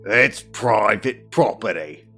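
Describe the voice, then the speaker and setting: Man acting a gravelly, hulking stern guard. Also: on the low side, lively intonation, takes it slowly, pushed and bright, soft background music, exaggerated voice. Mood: stern, challenging. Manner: gruff, challenging. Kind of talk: audio drama acting.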